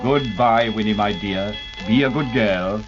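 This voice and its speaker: A man's voice: lowish voice, unhurried, over loud music, natural voice. A man in a vintage radio play voicing Brother Jim, an earnest, protective older brother who sounds concerned. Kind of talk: 1940s radio acting. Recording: dull old radio recording.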